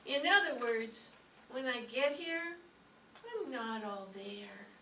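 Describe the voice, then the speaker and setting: A woman's voice: fairly high pitch, animated, slow, pushed and bright, full character voice. A woman playing a naive, drowsy, dim-witted young secretary in an old-time radio comedy. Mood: worried, earnest. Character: ditzy, sleepy young female secretary, naive and earnest. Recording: roomy, distant stage recording with faint noise.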